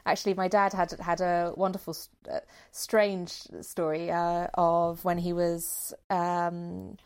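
Woman, slightly lower register, enthusiastic casual discussion of creative work, conversational delivery. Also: flat delivery, slow, clean tone.

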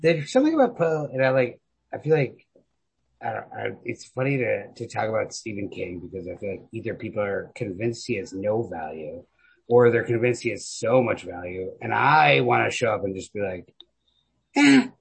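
A man chatting casually as a podcast co-host, in an informal, profane, rambling and opinionated way, with a raspy, gravelly voice. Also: fairly low pitch, animated, moderate pace, rough recording. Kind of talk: casual literary chat.

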